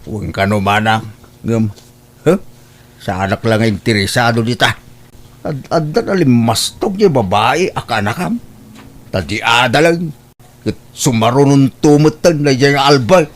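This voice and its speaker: A man's voice: mid-range voice, expressive, unhurried, lightly acted. A man playing a proud, scornful older father with a Filipino accent, grumbling and blustering in an Ilocano family radio drama. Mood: dismissive.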